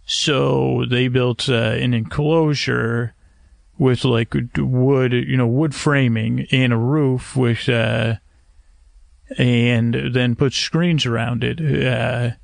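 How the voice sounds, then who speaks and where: A man hosting a bedtime podcast, rambling in a halting, meandering way, often interrupting himself and getting mixed up, with a creaky, gravelly voice. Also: medium pitch, fairly even intonation, no echo, studio quality. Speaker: podcast host. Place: homemade screened outdoor porch at night.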